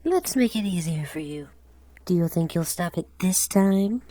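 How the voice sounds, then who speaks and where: A woman acts out a venomous young female phantom in an audio drama. She is scornful and mocking, taunting with every line. Her voice is slightly low for a woman, and the sound is dry. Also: very animated, a little slow, dark tone, no room sound.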